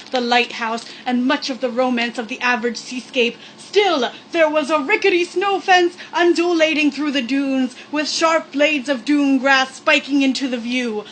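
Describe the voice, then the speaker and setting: A woman's voice: high voice, fairly bright tone, slight background noise, low-quality recording. A woman reading a story aloud in a sarcastic, mock-dramatic comic manner, with joking asides. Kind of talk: comedic read-aloud with mock-spooky asides.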